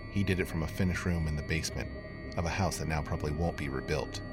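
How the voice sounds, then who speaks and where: Very deep-voiced brooding investigator narrator. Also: male voice. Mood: grave, brooding. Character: brooding male investigator narrating a dark mystery podcast. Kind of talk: scripted first-person horror podcast narration.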